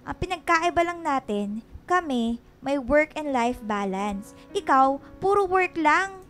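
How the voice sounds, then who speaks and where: Very high-pitched, bossy best friend. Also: female voice, lively intonation, a little slow, fairly bright tone. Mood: teasing, exasperated. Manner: exclamatory, teasing, slangy. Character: bossy, teasing young best friend, female psychologist. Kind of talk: Filipino radio drama acting. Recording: music behind the voice.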